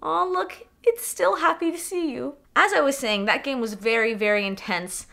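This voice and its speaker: A young woman with a stuffy cold, casually reviewing a hockey game in an excitable, swearing, animated way. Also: high-pitched, moderate pace, vocal fry, soft background music. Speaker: hockey fan vlogger.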